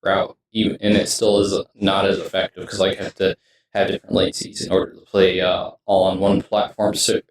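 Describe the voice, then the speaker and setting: A man giving casual, rambling streamer talk, halting and often trailing off mid-sentence. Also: sits low, flat delivery, slight background noise. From United States. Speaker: video game streamer. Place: indoors in his streaming room setup. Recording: roomy echo, distant mic, music behind.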